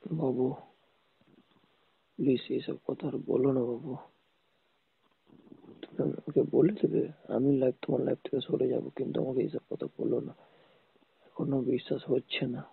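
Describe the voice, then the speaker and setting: A man with a rough, husky voice arguing insistently and repetitively in an intimate phone call. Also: mid-range voice, fairly even intonation, moderate pace, pauses often. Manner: insistent, repeats demands. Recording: muffled tinny phone call recording.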